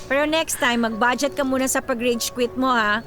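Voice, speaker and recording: Woman playing young filipino eldest daughter, high voice, tired but wry, radio drama. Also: fairly even intonation, normal speed, over music, close to an everyday voice. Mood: caring, light. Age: young adult. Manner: teasing, self-deprecating.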